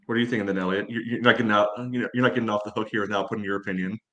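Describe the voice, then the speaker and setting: Fast-talking man with a low voice. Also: steady tone, pushed and bright. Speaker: sports podcast panelist. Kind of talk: casual sports analysis.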